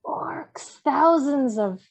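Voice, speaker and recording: A woman's voice: sits high, animated, creaky, full character voice. A woman voicing a small, wretched, cunning creature with a whiny, hissing voice and broken grammar, at a fantasy script table read. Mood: fawning, wretched. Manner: slow, fawning, broken grammar.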